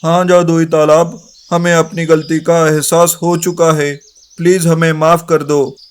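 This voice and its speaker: A man voicing a big, stubborn, indignant elephant in an animated moral story, argumentative in manner. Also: on the high side, unhurried, clear, resonant tone, close to an everyday voice. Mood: apologetic, friendly. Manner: defiant, argumentative.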